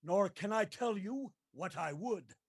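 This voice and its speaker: A tormented male ghost of a dead miser in a theatrical radio play. The voice is very high and creaky, dragging slowly through mournful declamations. It moans with remorse and stretches out its cries of woe. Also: animated, heavily acted. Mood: weary, sorrowful. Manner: slow, declamatory, mournful, moaning drawn-out cries.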